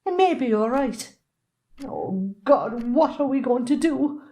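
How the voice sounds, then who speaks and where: Irish lady acting a worn-down middle aged farm mam, soft dark tone, slow, worried, in a play. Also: high voice, lively intonation, soft background music, exaggerated voice. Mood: despairing, bitter. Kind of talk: stage drama acting.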